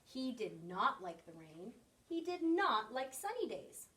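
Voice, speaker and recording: A woman reads a storybook aloud for children, her fairly high voice moving with lots of expression. She sounds a little way back from the mic. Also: very animated, measured pace, bright, forceful tone, distant mic, slight background noise. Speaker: story reader. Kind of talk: expressive picture book read-aloud for children.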